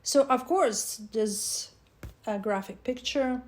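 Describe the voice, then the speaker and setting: A woman from Serbia, speaking, presents her book with slow, halting speech and frequent pauses. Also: on the high side. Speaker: author and divination teacher.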